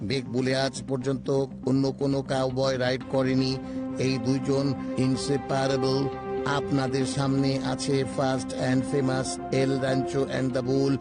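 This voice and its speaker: A man's voice: mid-range voice, completely flat delivery, a little slow, over loud music, natural voice. A man playing a solemn guide who presents exhibits in a dubbed cartoon. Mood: solemn, presenting.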